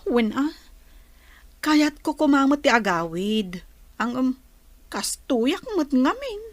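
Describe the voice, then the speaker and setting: High-pitched aggrieved young Ilocano wife played by a woman. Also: very animated, slow, no echo, heavily acted. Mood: dejected. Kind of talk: Ilocano radio drama acting.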